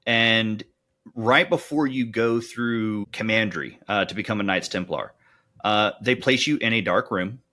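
A man's voice: fairly low pitch, a little slow, bright, forceful tone. A man co-hosting a podcast in casual, conversational discussion. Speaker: podcast co-host.

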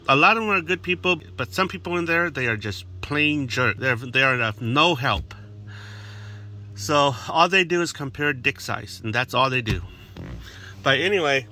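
Male DIY demonstrator, casual and plainspoken, unhurried halting delivery, slight background noise. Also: fairly high pitch, sharp tone. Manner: plainspoken, talks in short halting steps while working. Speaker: DIY radio hobbyist demonstrator. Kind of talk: hands-on how-to narration, casual. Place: in and beside a parked vehicle outdoors. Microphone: handheld phone, one-handed filming.

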